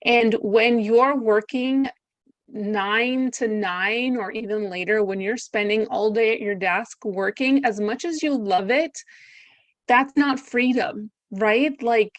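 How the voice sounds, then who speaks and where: A woman's voice: a little high, slow, no room sound, highs cut off. A woman in her thirties or forties with an American accent, enthusiastic and chatty, a guest talking about her business in an interview. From United States and Greece. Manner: enthusiastic, chatty, laughs often. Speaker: podcast guest, business owner. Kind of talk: conversational interview.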